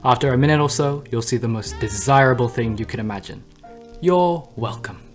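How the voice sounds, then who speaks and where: A man's voice: medium pitch, expressive, brisk, natural voice. A man playing a smug, theatrical demon who hosts a news broadcast in hell, speaking with suave, sardonic mock politeness over music. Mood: smug, mock-welcoming. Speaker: radio news host. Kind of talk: scripted comedic radio broadcast. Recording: music under the voice.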